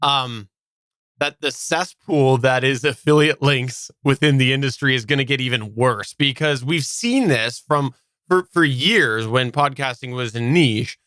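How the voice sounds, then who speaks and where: Man from Canada, bright voice, podcast co-host, casual opinionated chat, dry close recording. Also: medium pitch, unhurried, clean tone, no echo.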